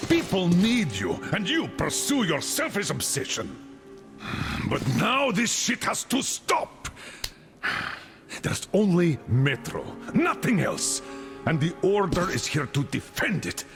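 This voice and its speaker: Stern veteran commander, video game drama, exasperated and commanding, highly animated delivery, music underneath. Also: male voice, takes it slowly, exaggerated voice. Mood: angry, scolding. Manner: gruff, commanding, scolding. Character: stern older male military colonel, exasperated father.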